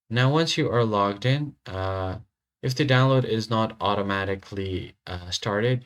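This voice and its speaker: A man narrating a step-by-step software tutorial in an informal, instructional way. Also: lowish voice. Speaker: tutorial host.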